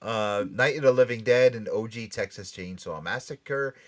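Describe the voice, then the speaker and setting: An excitable show host in casual panel banter, often stammering and repeating phrases. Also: mid-range voice, normal speed.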